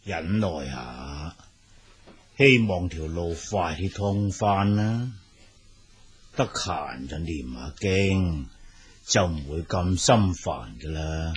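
An actor playing a calm, patient old Buddhist abbot in a Cantonese audio drama, speaking in a measured, soothing manner. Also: male voice, low-pitched, a little hiss or hum.

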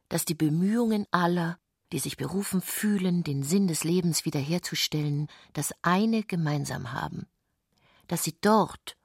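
Woman narrating a novel, gravelly husky voice, composed and precise. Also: on the low side, no echo, studio quality. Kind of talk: literary scripted narration.

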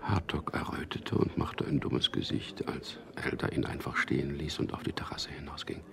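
Radio drama lead: sardonic womanizing ad man, very low creaky voice, understated and suave. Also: fairly even intonation, moderate pace, whispered, exaggerated voice. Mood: detached narrating. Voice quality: gravelly, very deep. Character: sardonic charming ad copywriter and womanizer, cool, detached narrator. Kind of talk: German radio drama acting with intimate first-person narration.

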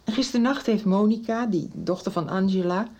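An actress playing a shaken, insistent middle-aged mother, agitated and halting, in a radio soap. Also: mid-range voice, a little mellow, close to an everyday voice. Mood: shaken, anxious. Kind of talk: Dutch radio soap acting.

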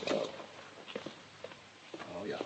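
An actor playing a fussy, hesitant police doctor who stammers in a radio play. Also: male voice, drawn-out words, heavy background noise. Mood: flustered.

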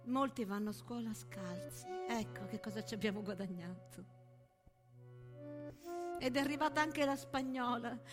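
A woman playing an earnest, disoriented young wartime woman, declaiming plaintively and emphatically in a theatrical monologue. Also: high-pitched. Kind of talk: theatrical monologue set to music. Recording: stage monologue with music behind.

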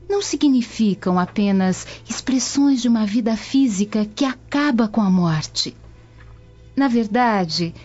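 Mellow, serene young Brazilian woman. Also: medium pitch. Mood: serene, reflective. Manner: formal, measured, earnest. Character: young devout Roman granddaughter, serene and earnest. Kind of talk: radio drama acting. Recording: muffled radio drama with music bed.

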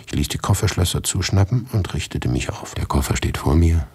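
A grim harried writer narrating a radio drama, really deep husky male voice, dry deliberate delivery, super dry studio sound. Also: animated, a little mellow, no echo, heavily acted. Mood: grimly matter-of-fact. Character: morbid, harried male writer recounting his grim predicament. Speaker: actor narrating as the protagonist. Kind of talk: literary radio drama monologue, first-person narration.

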